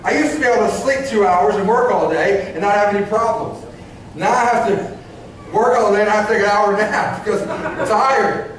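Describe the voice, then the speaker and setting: Echoey middle-aged pastor, high voice. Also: male voice, slight background noise. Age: 40s. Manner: halting, many restarts and stammered repeats; conversational, asks the congregation questions. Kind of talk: sermon. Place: church sanctuary. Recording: very echoey church, voice from far off.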